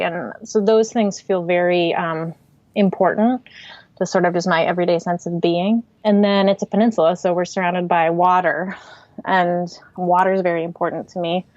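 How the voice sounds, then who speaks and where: A woman's voice: on the low side, dry recording. Thoughtful woman, dry and slightly muffled. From Pacific Northwest, United States. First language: Swedish. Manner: reflective, thoughtful, with frequent fillers. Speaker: podcast guest, writer and artist. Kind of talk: casual interview conversation.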